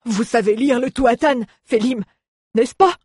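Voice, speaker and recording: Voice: medium pitch, measured pace, darkish tone, husky, no room sound, clean studio sound, pitched above the performer's own voice. A reader voicing a grumpy, sarcastic male dwarf adventurer in a fantasy audiobook, grumbling and exclaiming indignantly. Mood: impatient.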